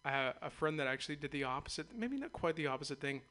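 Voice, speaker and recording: A man's voice: fairly high pitch, expressive, fast, bright, forceful tone. A man hosting a radio interview show, chatting loosely in a rambling way and laughing easily. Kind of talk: casual interview chat.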